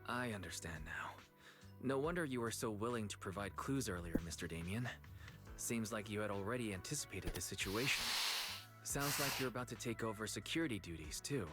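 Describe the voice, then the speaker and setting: A calm young video game protagonist, voiced by a man, pitched somewhat low. He is perceptive, with a lightly teasing manner. Loud music runs behind the dialogue. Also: a little fast, natural voice.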